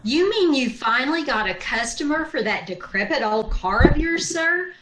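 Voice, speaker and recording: A woman's voice: sits high, lively intonation, normal speed, background noise. A woman playing a wry, wisecracking schoolteacher in an old-time radio sitcom, with a dry, sardonic delivery. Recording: roomy, echoey video-call recording.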